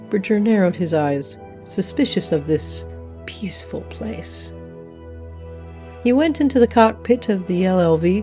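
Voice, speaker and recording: Audio drama cosmic narrator, played by a woman: slightly low, soft-edged, arch and theatrical, dryly grandiose. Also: natural voice.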